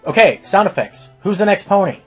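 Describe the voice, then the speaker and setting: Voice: mid-range voice, very animated, fairly quick, soft background music, lightly acted. An actor playing a showy, easily exasperated pony who hosts a radio show in a radio play.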